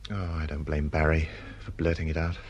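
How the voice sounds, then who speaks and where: An actor playing a dry, disgruntled schoolteacher and struggling novelist, pedantic and self-deprecating, in a radio drama. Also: male voice, very deep voice, little pitch movement, brisk, lo-fi sound. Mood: resigned. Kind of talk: naturalistic radio drama dialogue.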